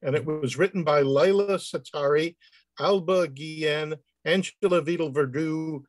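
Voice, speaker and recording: A man reads academic material on a video call, taking it very slowly. His voice sits a little high and the sound is dry. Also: no room sound. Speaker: reader of a research paper. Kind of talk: reading out a paper title with asides.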